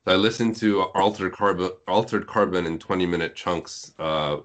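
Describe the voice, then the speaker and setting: Very deep-voiced man chatting casually. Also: moderate pace, fairly bright tone. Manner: rambling, tangential, self-deprecating talker. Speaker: translator and author, podcast guest. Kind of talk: casual podcast chat.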